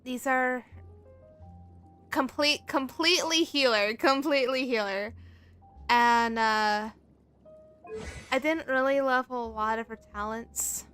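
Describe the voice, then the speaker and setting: Slightly high-voiced woman livestreamer. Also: takes it slowly, pauses often, a bit bright, smooth, faint music behind. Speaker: game livestreamer. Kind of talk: casual livestream commentary.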